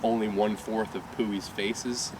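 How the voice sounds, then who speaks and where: Low-voiced young man, rambling and laughing. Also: fairly even intonation, a little slow. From Michigan, USA. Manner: rambling, laughing storyteller with many restarts. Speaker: rock band singer. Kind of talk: casual interview chat. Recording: far from the mic, loud traffic noise.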